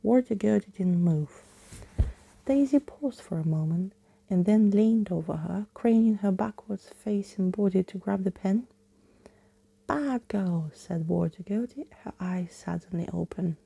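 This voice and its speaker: A woman reading a story aloud as ASMR, hushed and often slipping into a whisper, close to the mic. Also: mid-range voice, normal speed, creaky, husky, low-quality recording.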